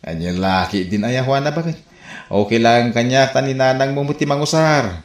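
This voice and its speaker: A woman's voice: a bass voice, moderate pace. A woman playing a worried, nagging yet caring husband in a radio comedy drama, with an Ilocano accent and exasperated questioning. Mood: caring, resigned. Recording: echoey, faint music behind.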